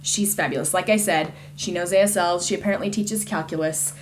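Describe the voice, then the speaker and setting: A woman's voice: lowish voice, fairly even intonation, a little fast, a little hiss or hum. A woman giving a casual, conversational book review in an informal and enthusiastic way.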